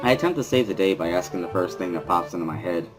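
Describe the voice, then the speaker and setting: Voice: deep voice, lively intonation, fast talker, music in the background. A storyteller giving a dramatic reading of a first-person story as narrator.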